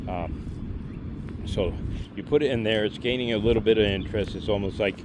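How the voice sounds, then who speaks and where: A man's voice: fairly low pitch, moderate pace. A man in his late fifties giving money and marriage advice in a casual, rambling walk-and-talk, with many fillers and restarts. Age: 50s. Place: outdoors on a walk near traffic. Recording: outdoor street noise with passing cars.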